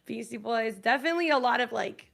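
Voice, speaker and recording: A woman's voice: on the high side, fast talker, vocal fry, a bit bright. A woman chatting casually as a talk show guest, enthusiastic and informal, swearing freely. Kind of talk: casual chat.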